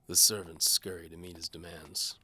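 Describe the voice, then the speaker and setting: A man's voice: low voice, flat delivery, moderate pace, sharp tone, husky, full character voice. A man playing a cynical, hard-bitten mercenary bowman who narrates his own case in a wry, terse noir style. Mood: narrating, wry. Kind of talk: scripted first-person noir fantasy narration.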